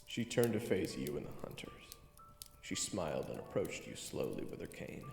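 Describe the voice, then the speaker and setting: A man with a gravelly voice reading scripted second-person fantasy story narration. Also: deep voice, big pitch swings, normal speed, roomy sound, big-room sound, music in the background.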